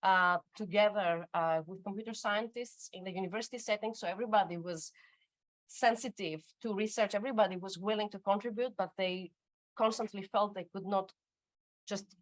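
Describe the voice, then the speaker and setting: A woman's voice: mid-range voice, brisk, no room sound. A middle-aged woman speaking as an academic panelist at a conference workshop, talking off the cuff with many fillers and restarts. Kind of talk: unscripted conference panel talk.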